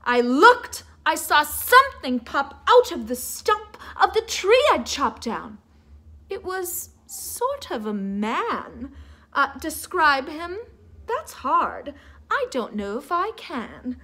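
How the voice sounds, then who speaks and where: A woman's voice: sits high, sing-song, slow pace, bright, forceful tone. A woman reading a children's story aloud like a teacher, theatrical and playful, coaxing her listeners to join in.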